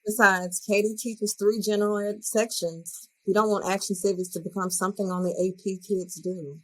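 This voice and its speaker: A woman's voice: medium pitch, steady tone, moderate pace, a little hiss or hum, close to an everyday voice, low-quality recording. An actress playing a determined, principled female teacher in a podcast drama. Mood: determined, urging.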